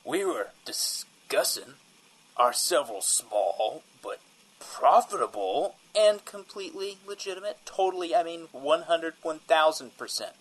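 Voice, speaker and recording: A man's voice: fairly high pitch, lively intonation, a little slow, low-quality recording. A man playing a shifty, defensive field reporter in a comedic audio drama, rambling and repeating himself evasively.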